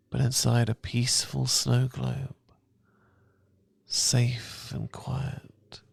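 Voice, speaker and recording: A British man speaking as a loving boyfriend in a soft, husky, often whispered ASMR style, gentle, tender and unhurried, close to the microphone. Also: on the low side, no room sound. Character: loving, soothing boyfriend comforting his partner. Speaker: ASMR audio performer. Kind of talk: ASMR, slow romantic guided relaxation. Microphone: close microphone, dry.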